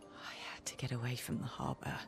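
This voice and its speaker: A woman voicing a quiet, wistful young woman in a video game cutscene, soft and reflective as she confides. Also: fairly quick, in a whisper, natural voice. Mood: wistful, tender. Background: music.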